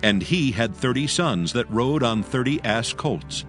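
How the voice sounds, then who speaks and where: A man narrating scripture from a script, in a solemn, measured storytelling manner. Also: mid-range voice, animated, soft background music.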